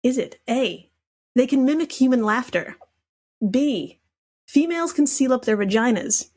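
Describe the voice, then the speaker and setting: Woman, higher-pitched voice, playful and sweary, running a casual comedy quiz on a podcast. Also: animated, unhurried, a little mellow. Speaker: podcast guest posing quiz questions.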